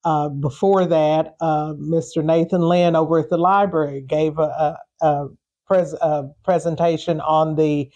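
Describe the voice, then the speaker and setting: An older woman from western Kentucky answering interview questions, halting and repeating words as she searches. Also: low-pitched, fairly even intonation, mellow, muffled recording. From western Kentucky, United States. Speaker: interview guest, community preservation advocate.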